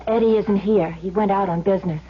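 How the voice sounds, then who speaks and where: A woman's voice: medium pitch, steady tone, a little fast, noisy background, close to an everyday voice. An actress playing a wry, bitter wife of a crook, probing as she talks, in a 1970s radio drama. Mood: wry, hospitable. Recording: dull lo-fi old radio recording.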